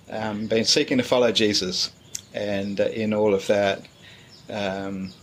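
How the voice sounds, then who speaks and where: Relaxed low-voiced pastor, hesitant. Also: male voice, takes it slowly, slight background noise. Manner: relaxed, hesitant with many fillers. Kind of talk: casual interview answers.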